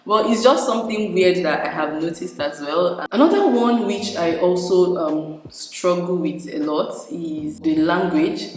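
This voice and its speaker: A young woman from Nigeria, a native Igbo speaker, chatting casually to her vlog audience, laughing often and pausing to find her words. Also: on the low side, darkish tone. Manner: chatty, laughs often, frequent fillers and restarts. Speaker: vlogger. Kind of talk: casual vlog talk to camera. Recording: very echoey room with long reverb.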